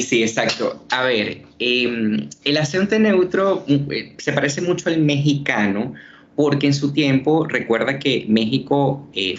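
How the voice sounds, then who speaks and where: A man explains things patiently, like a teacher, pausing on fillers as he goes. His voice sits in an ordinary middle range. The call audio is a little dull, with faint noise behind it. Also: mid-range voice. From Venezuela. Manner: earnest, teacherly, many fillers. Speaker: journalist and university teacher. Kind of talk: explanatory interview answers.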